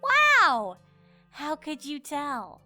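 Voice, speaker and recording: Woman putting on a high-voiced, frazzled young cartoon mare. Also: huge pitch swings, drawn-out words, pushed and bright, soft background music, heavily acted. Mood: sharp. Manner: snarky, exasperated. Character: young adult pony mare, frazzled, snarky, impatient.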